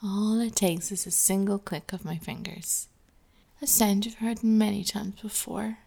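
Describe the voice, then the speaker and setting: A woman's voice, mellow and a little gravelly, delivering a scripted ASMR hypnosis roleplay. She speaks slowly and with quiet authority, drifting between a soft murmur and a breathy half-whisper. The delivery stays calm, controlled and hypnotic throughout. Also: medium pitch. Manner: slow, commanding, hypnotic delivery.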